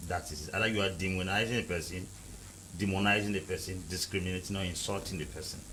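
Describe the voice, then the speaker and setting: A man's voice: low voice, steady tone, fast, bright, forceful tone, a little hiss or hum, low-quality recording. A man from Cameroon arguing emphatically as a panel guest in a heated debate, repeating and driving home his points. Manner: emphatic, repetitive, lecturing.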